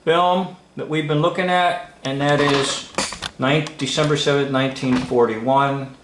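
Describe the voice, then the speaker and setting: A man giving informal, unscripted commentary as a video host, speaking in halting, broken phrases with emphatic stresses. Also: mid-range voice, fairly even intonation, noisy background. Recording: roomy, echoing room sound.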